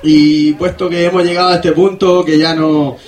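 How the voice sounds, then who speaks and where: A man's voice: fairly high pitch, level tone, normal speed, a little mellow. A man hosting an informal, humorous radio show, rambling with hesitant phrasing. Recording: roomy, echoing voice recording.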